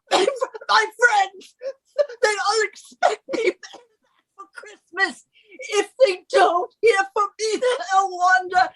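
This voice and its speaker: A woman's voice: very high-pitched, takes it slowly, a bit bright, exaggerated voice, low-quality recording. A woman playing a bossy, haughty British wife in a radio suspense drama, with an imperious, theatrical delivery. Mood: panicked, pleading.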